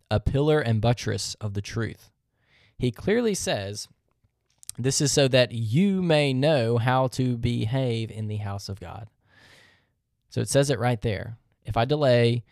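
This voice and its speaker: A man's voice: medium pitch, creaky, a little mellow, dry recording. Male podcast host, mid-range voice, methodical Bible-teaching monologue, dry close recording. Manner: earnest, methodical, reads verses aloud; rhetorical questions.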